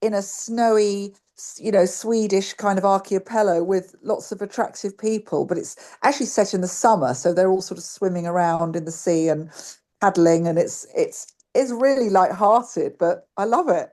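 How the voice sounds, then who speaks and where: A woman's voice: mid-range voice, moderate pace, darkish tone. A woman in a book club chatting enthusiastically and rambling on a video call. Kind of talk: casual group chat.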